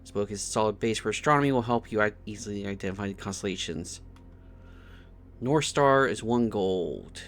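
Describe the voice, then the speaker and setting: A man's voice: fairly low pitch, brisk, fairly bright tone. A man doing casual game stream commentary, thinking aloud and often repeating words as he puzzles things out.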